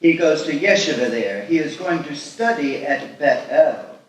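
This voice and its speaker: A man's voice: on the high side, expressive, a little slow. A man playing a kindly older rabbi, proud and protective, speaking formally in a live screenplay table read. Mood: proud, warm. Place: live festival event, echoing room. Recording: echoey hall from far off, faint noise.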